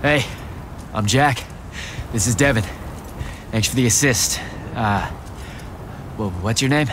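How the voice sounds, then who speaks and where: An actor playing an earnest young soldier-mage hero in a video game, informal, plain-spoken and a little exasperated. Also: male voice, medium pitch, slow, noisy background, natural voice. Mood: friendly, awkward. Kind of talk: video game cutscene acting. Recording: loud music under the dialogue. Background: game music.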